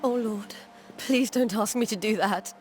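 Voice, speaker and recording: An actress playing a fearful, grieving puritan widow in a game, with a fragile, pleading delivery. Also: female voice, medium pitch, measured pace, a little mellow, a little hiss or hum, natural voice. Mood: distressed, pleading. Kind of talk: dramatic game cutscene acting. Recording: music behind the dialogue.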